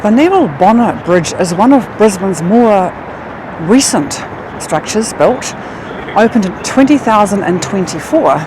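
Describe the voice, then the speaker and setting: A woman's voice: medium pitch, animated, a little slow, music in the background, poor audio. A woman with a New Zealand accent, filming a walking tour vlog and sharing facts in a chatty voice that is easily impressed. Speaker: travel vlogger. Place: outdoors in a city at night, by a river. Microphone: action camera. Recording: outdoor city noise in the background. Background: city street and traffic sounds.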